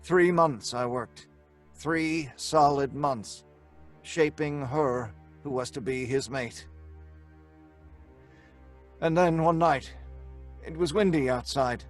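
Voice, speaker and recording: An actor playing a tormented, secretive scientist in an old-time radio drama, speaking in a grave, deliberate, dramatic way. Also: male voice, fairly high pitch, faint music behind. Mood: grave, haunted. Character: tormented male scientist, guilt-ridden, secretive and defiant. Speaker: lead character and narrator.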